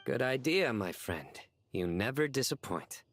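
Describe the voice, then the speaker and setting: A man voicing an earnest, theatrical joker in a video game, speaking in a measured, formal and self-satisfied way. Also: mid-range voice, sing-song, fairly bright tone, soft background music. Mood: composed.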